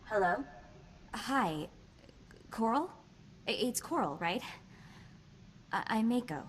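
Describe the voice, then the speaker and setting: An actress playing a sassy, quick-witted young woman hacker in a video game, with a chatty, wry delivery. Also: on the high side, lively intonation, slight background noise. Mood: nervous. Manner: wry, chatty, hesitant asides.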